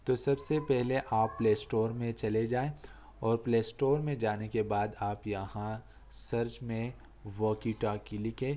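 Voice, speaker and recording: A man's voice: mid-range voice, dark tone. A man with a mid-range voice presents a tech tutorial. He explains each step to his viewers as if to friends, often repeating his points. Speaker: tech channel host. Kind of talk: app review and step-by-step tutorial.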